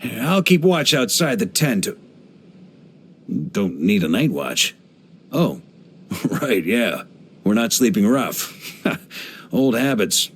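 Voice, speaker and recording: An actor playing a genial, laid-back middle-aged knight commander in a video game, with a theatrical, easygoing manner. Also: male voice, lowish voice, big pitch swings, takes it slowly, long pauses, a little hiss or hum, heavily acted. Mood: easygoing, jovial. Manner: jovial, laid-back, theatrical, given to chuckles. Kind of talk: English video game character voice lines.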